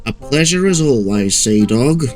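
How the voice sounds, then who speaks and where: A man's voice: medium pitch, animated, measured pace, darkish tone, faint music behind, lightly acted. A man voicing a sarcastic, grumbling male crane in a children's story.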